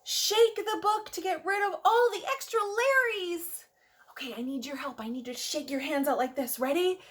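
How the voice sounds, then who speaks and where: A woman hosting a story time for young children, speaking in an expressive, sing-song and encouraging way. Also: very high-pitched, moderate pace. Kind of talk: read-aloud addressed to young children.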